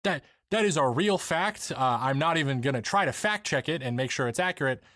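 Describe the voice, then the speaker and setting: A man's voice: on the high side, lively intonation, fairly quick, fairly bright tone, no room sound, studio quality. A man narrating a scripted explanatory video essay, with wry, humorous asides.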